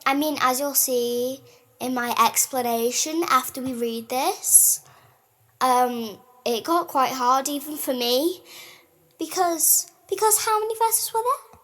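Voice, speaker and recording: High-voiced female podcast co-host. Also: takes it slowly, a bit bright. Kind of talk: casual chat with dramatic poetry reading.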